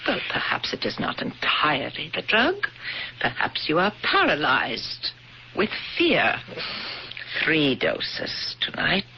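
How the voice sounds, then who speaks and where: An actress in a 1970s radio drama playing a grim, determined woman avenging her family, deliberate and bitterly sardonic in formal wording. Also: low-pitched, huge pitch swings, creaky, breathy edge, heavily acted. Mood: cold, mocking. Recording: dull, noisy old radio broadcast.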